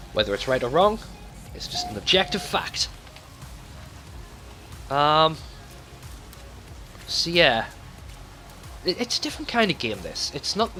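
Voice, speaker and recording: A man's voice: medium pitch, sharp tone. A man hosting a let's play with casual, rambling gameplay commentary and loose swearing.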